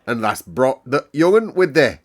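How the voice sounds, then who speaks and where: Bloke doing a rough burly station master, broad yorkshire, hearty storybook character. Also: male voice, mid-range voice, very animated, normal speed, voice pushed up, close to an everyday voice. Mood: hearty, good-natured.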